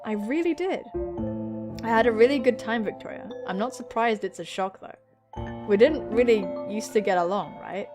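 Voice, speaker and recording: A woman's voice: a little high, moderate pace, music in the background, lightly acted. A woman voicing an earnest, awkward young woman from a visual novel, hesitant and sincere. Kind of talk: visual novel dialogue read aloud.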